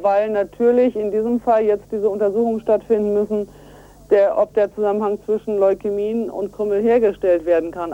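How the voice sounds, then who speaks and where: A woman's voice: mid-range voice, mellow. A woman being interviewed on the radio over a telephone line as an environmental activist, explaining step by step in an earnest, emphatic manner. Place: on a telephone call to a radio show.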